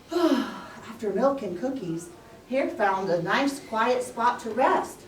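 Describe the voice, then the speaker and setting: A woman's voice: a little high, lively intonation, takes it slowly. A woman telling a story to young children, expressively and in simple phrases. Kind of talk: live children's story narration. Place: room with a pre-school class. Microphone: distant recording mic. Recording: echoey room, distant mic, faint noise.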